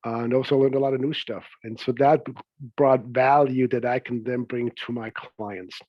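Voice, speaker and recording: A middle-aged man from Switzerland, a coach and podcast guest, talking in a relaxed, chatty way with easy laughs. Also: medium pitch, moderate pace, dry recording, dull audio. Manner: chatty, laughs easily, many "you know"s.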